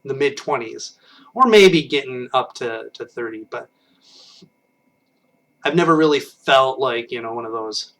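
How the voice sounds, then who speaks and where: A man's voice: medium pitch, lively intonation, unhurried, long pauses, a bit bright, recorded from a distance. A man in his late thirties casually chatting on a live stream, rambling and self-deprecating with frequent restarts, recorded on a webcam. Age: 30s. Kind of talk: casual chat with viewers.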